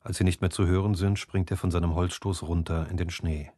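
Low-voiced man narrating a story. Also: steady tone, fairly quick, mellow, dry recording, clean studio sound. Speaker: audiobook narrator. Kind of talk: scripted fantasy story narration in present tense.

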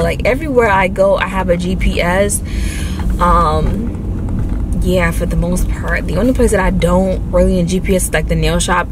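A young adult woman chatting casually to her viewers as a vlogger, recorded on a camera inside a car. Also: lowish voice. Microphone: camera mounted near the steering wheel. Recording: clear car and ambient noise.

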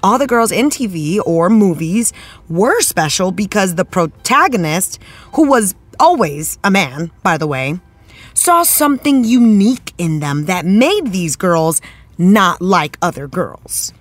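A woman's voice: medium pitch, big pitch swings, unhurried, dry recording. A woman playing an earnest, thoughtful TV actress in an interview, searching for her words in a conversational way. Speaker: interviewed actress, after-show guest.

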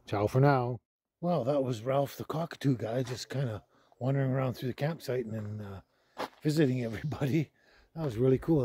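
A man's voice: mid-range voice, warm tone. An older man chatting casually to camera in a hesitant, rambling way. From Canada. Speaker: vlogger, host. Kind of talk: casual unscripted vlog talk. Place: outdoors at a forest campsite and inside a vehicle canopy. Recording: clear background noise, handheld outdoor audio.

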